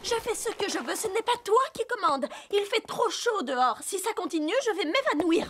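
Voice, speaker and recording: A haughty young cartoon girl, extremely high and lively in pitch. She whines with theatrical disdain, with clear noise in the background. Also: normal speed, exaggerated voice. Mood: petulant. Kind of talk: French-dubbed children's cartoon acting.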